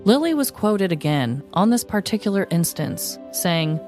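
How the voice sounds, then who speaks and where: A woman's voice: medium pitch, big pitch swings, normal speed, darkish tone, faint music behind. A woman hosting a podcast, reading a scripted comic true-crime story in a wry, playful way. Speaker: podcast host.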